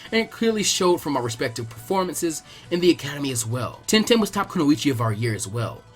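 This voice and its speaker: A man voicing a cool, arrogant young prodigy ninja in a story reading, condescending, measured and fatalistic. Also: on the high side, very animated, soft background music. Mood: smug, cold. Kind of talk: dramatic audiobook character acting.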